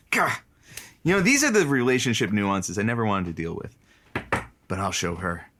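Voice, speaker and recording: An actor playing an awkward, easily swayed radio announcer who is anxious about romance, with a hesitant, deadpan manner in a comedic audio drama. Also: medium pitch, moderate pace, noisy background, close to an everyday voice. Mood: resolved. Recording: music behind the voice.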